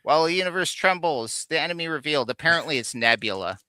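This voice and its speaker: A man's voice: fairly high pitch, lively intonation, fast talker, bright, forceful tone, clear, resonant tone, no echo. A man co-hosting a casual geek livestream, rambling enthusiastically as he shows things off. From Canada. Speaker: co-host of a geek culture livestream. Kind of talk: casual chat, showing off finds.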